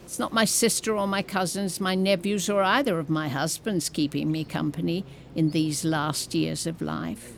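A woman's voice: on the low side, fairly even intonation, moderate pace, gravelly, hardly any pauses. Blunt, cantankerous old woman played by an actress, noisy background. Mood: blunt, nosy. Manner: blunt, wry, grumbling. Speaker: actor in an audio drama. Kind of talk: naturalistic comedic audio drama acting.

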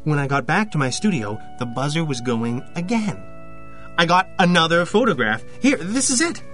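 An actor playing an awkward, earnest young photographer in an audio drama, stammering and hesitant. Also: male voice, fairly high pitch, very animated, normal speed, fairly bright tone, soft background music.

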